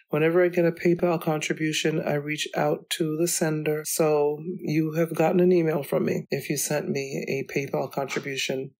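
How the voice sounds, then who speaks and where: A woman hosting her own podcast, talking alone in a chatty, rambling way, her voice still slightly hoarse from illness. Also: low-pitched, darkish tone. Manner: chatty, rambling, goes off on tangents. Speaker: podcast host. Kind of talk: solo podcast monologue. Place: home.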